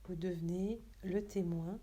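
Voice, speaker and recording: A woman yoga teacher leading a guided relaxation meditation in a soft, breathy, hushed and soothing, unhurried way. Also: fairly low pitch, steady tone.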